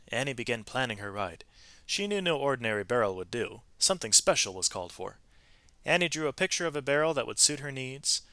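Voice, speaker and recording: A man reading a storybook aloud to students in an expressive, emphatic way, with brief explanatory asides to his listeners. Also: medium pitch, no room sound. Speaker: read-aloud narrator, teacher reading to students.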